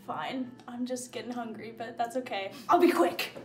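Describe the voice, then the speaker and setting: A woman's voice: fairly high pitch, normal speed, a loud crowd. A young woman playing a tabletop game, chatting casually and playfully in and out of roleplay. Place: indoor studio set, seated at a table. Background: prominent music.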